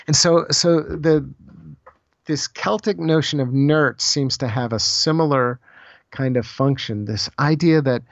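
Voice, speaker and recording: A man speaking as an interview guest, explaining ideas in a thoughtful, halting conversational way and often repeating phrases as he goes. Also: a little high, no room sound. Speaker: podcast guest, author on Celtic spirituality. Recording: slightly dull, lo-fi audio.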